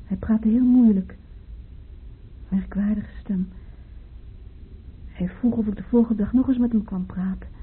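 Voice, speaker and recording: An actress playing an anxious, fragile former patient in a radio play, plaintive and hesitant. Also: female voice, mid-range voice, steady tone, a little slow, long pauses, husky. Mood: wistful, regretful. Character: anxious, fragile woman just out of hospital, pleading. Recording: narrow phone-like radio play, faint noise.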